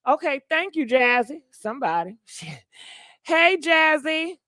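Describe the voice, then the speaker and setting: A woman's voice: high voice, big pitch swings, unhurried, sharp tone, dry recording, studio quality. A woman hosting a casual call-in gossip show, chatting and taking callers. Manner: chatty, takes callers and runs phone polls.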